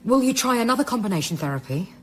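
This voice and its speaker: A woman's voice: on the high side, big pitch swings, fast talker, slight background noise. An actress playing a resourceful, anxious woman scientist in a naturalistic radio drama, conversational and matter-of-fact.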